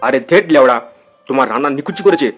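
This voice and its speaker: A man's voice: mid-range voice, animated, very fast, fairly bright tone, faint music behind, natural voice. A man playing a grumpy, foul-mouthed father in a comedy cartoon, scolding in an exasperated way. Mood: agitated.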